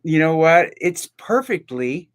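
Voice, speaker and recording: A man's voice: a little high, sing-song, measured pace. A man co-hosting a podcast in casual, reflective conversation, earnest and given to repeating words for emphasis. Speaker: podcast co-host.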